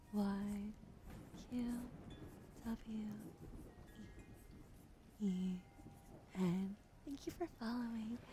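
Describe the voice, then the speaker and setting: A woman whispering softly and sleepily on an ASMR livestream. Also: fairly high pitch, noisy background. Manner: soft, slow, sleepy whispering. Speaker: ASMR streamer.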